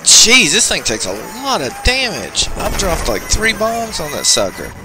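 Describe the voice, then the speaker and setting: A man's voice: sits high, wildly swooping pitch, measured pace, poor audio. A man hosting a video game channel, giving informal, chatty gameplay commentary with some slang. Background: arcade game music.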